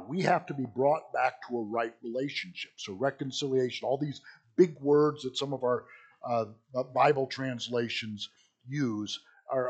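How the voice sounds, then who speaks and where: A middle-aged male pastor teaching Sunday school with a hoarse, husky voice after being sick, talking casually and joking with asides. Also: mid-range voice. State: hoarse from illness. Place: small church.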